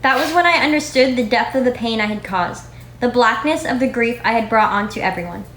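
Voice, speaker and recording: A woman's voice: fairly high pitch, fairly quick, a bit bright, faint music behind. A woman giving a scripted dramatic reading as a readers' theatre narrator. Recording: echoey room with faint noise.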